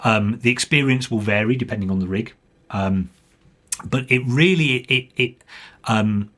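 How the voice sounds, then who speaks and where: A man giving an informal, explanatory software walkthrough as the developer, hesitant in delivery, with a slightly husky voice. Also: mid-range voice, unhurried. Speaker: software developer and guest demonstrating his program.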